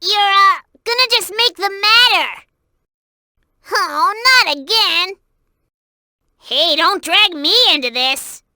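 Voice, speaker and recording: A performer voicing a small, childlike, excitable cartoon sidekick in a video game, with a squeaky, eager voice. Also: slow, pauses often, no echo, studio quality, exaggerated voice. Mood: nervous, complaining.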